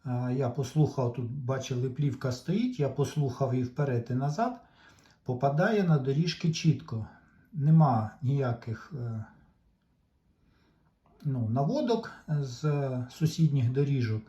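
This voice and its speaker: Man, soft dark tone, hobbyist repair demonstration, unscripted, halting explanations, muffled lo-fi recording. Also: mid-range voice, lively intonation. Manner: halting, explanatory, pausing mid-sentence. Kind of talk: informal unscripted repair walkthrough. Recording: dull lo-fi sound.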